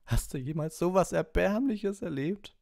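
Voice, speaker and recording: A spiteful schoolboy bully from a storybook, acted out by a man, jeering in a voice pushed far up high. He mocks with lively swoops of pitch. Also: moderate pace, creaky, no room sound, clean studio sound. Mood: mocking. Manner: mocking, jeering.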